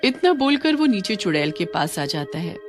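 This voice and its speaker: A woman's voice: mid-range voice, big pitch swings, normal speed, faint music behind. A woman reading a scripted horror story as its narrator.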